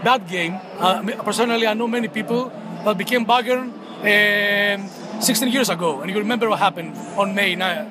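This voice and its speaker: A man's voice: high voice, normal speed, noisy background. A man in his thirties from Greece, talking in a casual interview outdoors and hesitating with many fillers and restarts. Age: 30s. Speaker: interviewee, football fan club member.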